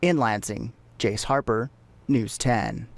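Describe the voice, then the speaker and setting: A man's voice: medium pitch, slow pace, vocal fry, a little hiss or hum. A man with an American accent reading scripted news narration as a television reporter.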